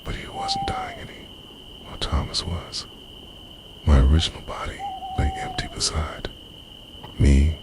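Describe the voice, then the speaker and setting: A man playing an obsessive, centuries-old lover in an intimate ASMR roleplay, half-whispering in a breathy, confessional way, tender and possessive. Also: rumbling low, full character voice. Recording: noisy, owls and insects behind.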